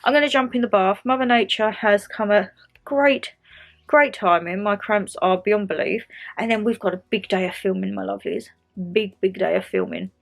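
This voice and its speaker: A woman's voice: mid-range voice, normal speed, gravelly. A young woman vlogging from home, chatting casually and rambling a little, her voice slightly nasal and stuffy from a cold. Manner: chatty, rambling, repeats phrases. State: bunged up with a cold. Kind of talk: casual chat to camera.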